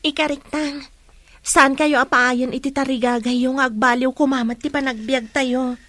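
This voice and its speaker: Lady doing a sincere young student girl, ilocano accent, high voice. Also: steady tone, moderate pace, fairly bright tone, dry recording. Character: dutiful young female student, a daughter.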